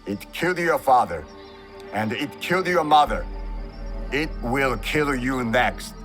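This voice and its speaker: An actor playing a grave older Japanese warrior mentor in a video game cutscene, speaking in a measured, cryptic, solemn way. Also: male voice, medium pitch, expressive, a bit bright. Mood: stern. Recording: music behind the dialogue.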